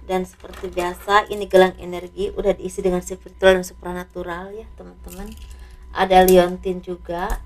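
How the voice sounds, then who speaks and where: A slightly hoarse woman doing a casual tarot reading, speaking softly and letting her phrases trail off. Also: lowish voice, little pitch movement, moderate pace. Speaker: tarot reader.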